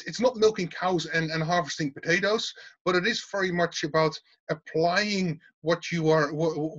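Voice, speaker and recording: Middle-aged Dutch man, lecturer type, slightly higher voice, chatty and full of restarts, muffled call audio. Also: a bit bright. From Netherlands. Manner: informal, repeats words and restarts often. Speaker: university teaching fellow. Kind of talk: casual interview answers. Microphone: video call. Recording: dull lo-fi video call audio.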